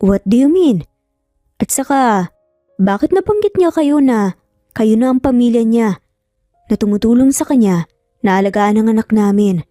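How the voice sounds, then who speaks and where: A woman's voice: fairly high pitch, animated, normal speed, pauses often, warm tone, no room sound, studio quality, faint music behind, natural voice. A woman voicing an earnest, emphatic young father in a narrated romance story, torn over his child. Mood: puzzled, insistent. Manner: earnest, emphatic, code-switching.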